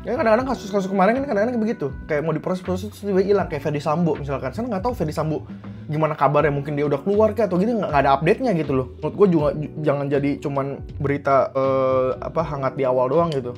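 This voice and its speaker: Angry young vlogger, blunt and swearing. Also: male voice, fairly high pitch, racing, darkish tone, soft background music. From Indonesia. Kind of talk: heated commentary to camera.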